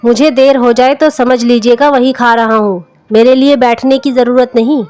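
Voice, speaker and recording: A woman's voice: on the high side, steady tone, moderate pace, darkish tone, close to an everyday voice. A woman voicing a polite, breezy schoolboy son in a story reading. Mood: breezy, respectful. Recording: music under the voice.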